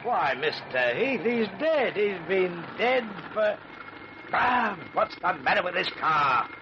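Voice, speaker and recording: High-voiced, deferential caretaker. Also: male voice, big pitch swings, slow, heavily acted. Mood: uneasy, apologetic. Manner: deferential, hesitant. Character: deferential male building caretaker, obliging and hesitant. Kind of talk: old-time radio drama acting. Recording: muffled, noisy vintage radio recording.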